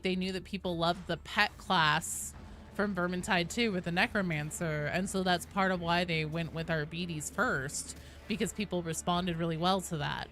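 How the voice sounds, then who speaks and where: A woman's voice: on the low side, fairly bright tone, slight background noise. A woman game streamer chatting casually and answering viewers, opinionated and enthusiastic, with casual swearing. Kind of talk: casual livestream chat reading and answering viewers.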